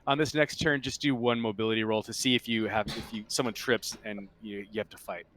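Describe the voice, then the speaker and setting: A man narrating a tabletop roleplaying game as game master, casually and with halting, improvised phrasing. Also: fairly low pitch, steady tone, fairly bright tone, slight background noise.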